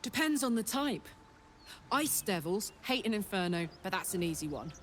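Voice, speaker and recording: A woman's voice: mid-range voice, sing-song, moderate pace, a bit bright, faint music behind. A woman playing a brash, hearty tiefling warrior in a video game, with a blunt, sweary, exuberant manner. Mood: animated, confident. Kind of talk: video game character dialogue.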